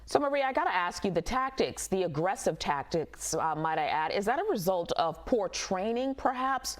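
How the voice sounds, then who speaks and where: A woman news host interviewing a guest in a measured, probing way. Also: medium pitch, expressive.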